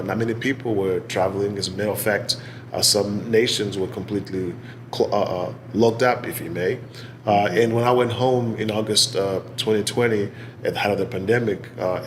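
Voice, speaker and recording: A man in his forties with a Zimbabwean accent, giving measured, articulate answers in an interview. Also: fairly low pitch, a little hiss or hum, low-quality recording. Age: 40s. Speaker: interviewee, business executive and gallery owner. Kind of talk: oral history interview answers.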